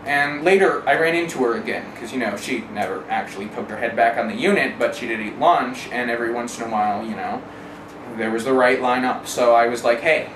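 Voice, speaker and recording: A man's voice: medium pitch, brisk. A man giving a candid first-person account in a wry way, casually swearing and acting out remembered exchanges. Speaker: interviewee telling a personal story. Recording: roomy, distant mic, clear background noise.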